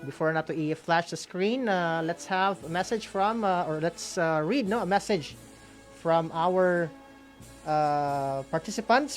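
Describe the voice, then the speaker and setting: A woman with a Filipino accent co-hosting an awards announcement, mixing English with Visayan and tagging phrases with "no?". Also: on the low side. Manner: mixes English with Visayan, frequent "no?" tags. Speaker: co-host of an online awards ceremony. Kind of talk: announcing award winners, semi-scripted.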